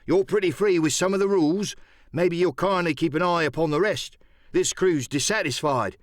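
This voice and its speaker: A man voicing a surly mutinous pirate in his thirties for an audiobook, airing grievances with mock politeness in rough sailor speech. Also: a little high, moderate pace, dry recording, studio quality, pitched above the performer's own voice, natural voice. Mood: insolent, aggrieved. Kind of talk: dramatic audiobook character acting.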